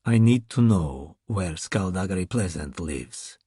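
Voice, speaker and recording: A hulking masked sorcerer with a Russian accent, his voice sunk low and unhurried, violence only implied. Every word is calm, cold and heavy, as a male narrator puts him on. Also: slightly rough, dry recording, studio quality, heavily acted. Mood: cold menace. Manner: slow, calm, quietly threatening.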